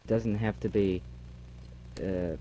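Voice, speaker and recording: A man playing a solemn philosopher, narrating a homemade audio drama in a ponderous, measured manner. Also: lowish voice, fairly even intonation, mellow. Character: solemn male philosopher narrating a metaphysical journey. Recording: noisy old home cassette tape.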